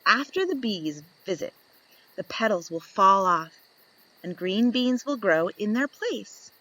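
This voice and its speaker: A woman reading a children's picture book aloud, slow and lively, lifting into high voices for the questions. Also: rough recording. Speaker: storybook reader.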